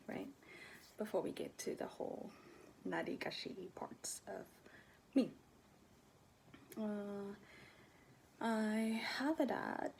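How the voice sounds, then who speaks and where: Slow, gravelly-voiced young woman vlogger. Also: mid-range voice, a little hiss or hum, low-quality recording. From Ethiopia. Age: 20s. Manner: soft-spoken and hesitant, many fillers, drops to near-whispers. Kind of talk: casual personal talk to camera.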